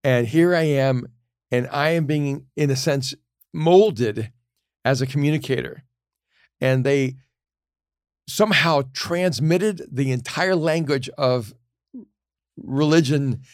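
A middle-aged man speaks in an earnest, reflective storytelling manner as a pastor and communication teacher. His voice sits at an ordinary pitch for a man. The sound is close and dry, with almost no room around it. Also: takes it slowly, pauses often, dry recording. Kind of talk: casual podcast conversation.

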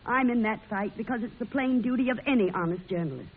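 An actress playing a fiery, sharp-tongued frontier-bred woman newspaper publisher in an old-time radio drama. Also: on the high side, quick pace, slight background noise, close to an everyday voice. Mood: earnest, worried. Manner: sharp-tongued, indignant, defiant. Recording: muffled, lo-fi old radio recording.

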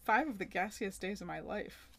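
A woman's voice: mid-range voice, animated, normal speed, vocal fry, fairly bright tone. A woman co-hosting a podcast, chatting casually and recapping a sitcom episode, acting out the characters' lines. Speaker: podcast co-host.

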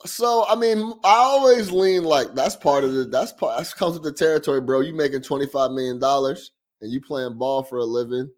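A man's voice: mid-range voice, a little fast. A young man co-hosting a casual sports podcast, loose and slangy, laughing often. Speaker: podcast co-host.

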